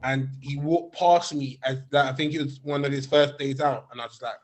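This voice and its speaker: A young man hosting a podcast, telling a story in a casual, animated way with frequent restarts. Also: medium pitch, fairly quick. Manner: animated anecdote, many restarts.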